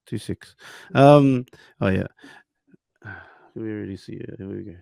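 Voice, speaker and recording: A man hosting a podcast, chatting casually in slang, joking and giving hyped shout-outs. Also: fairly low pitch, unhurried, vocal fry, dry recording. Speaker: podcast host. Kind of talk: casual interview chat.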